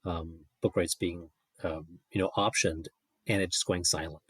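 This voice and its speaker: A man with a husky, fairly low voice works as a podcast interviewer. He phrases things casually and tentatively, in a very dry recording. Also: steady tone, unhurried, no room sound.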